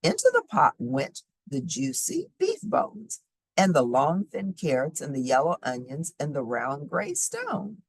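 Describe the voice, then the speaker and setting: A woman narrates a children's storybook with hugely animated pitch and a husky tone. The sound is close and dry, and she leans deliberately on repeated phrases. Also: no echo, rough recording. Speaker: storybook reader.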